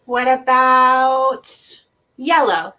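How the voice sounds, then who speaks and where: A woman's voice: sits high, very slow, pushed and bright, slight background noise. A woman co-hosting a children's read-aloud as a librarian, chatting in an eager, agreeable way. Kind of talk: children's storytime chat.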